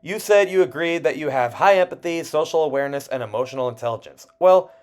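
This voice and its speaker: A man narrating a personal video essay in an expressive way, with self-deprecating asides and dramatic pauses. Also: fairly high pitch, clear, resonant tone. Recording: music under the voice. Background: background music.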